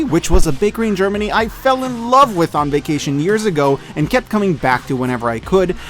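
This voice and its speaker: A man giving scripted video-essay commentary as an online reviewer, enthusiastic and expressive with wry asides. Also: sits high. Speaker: online media reviewer and host.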